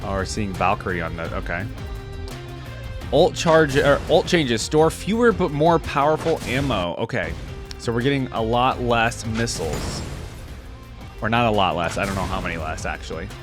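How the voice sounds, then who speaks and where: A man's voice: lowish voice, animated, normal speed, music in the background. A man hosting a reaction video, commenting casually and thinking aloud as he goes.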